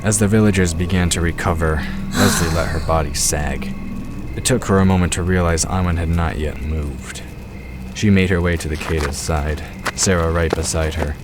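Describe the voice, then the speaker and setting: A very low-voiced man narrates a fantasy audio drama. He reads scripted, descriptive story prose, with music clearly playing behind him. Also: steady tone, moderate pace.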